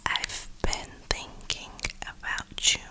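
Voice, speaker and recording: A woman host giving a slangy, reflective ASMR ramble in a breathy whisper. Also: sharp tone. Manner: slangy, reflective, unhurried pauses. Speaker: ASMR gaming video host.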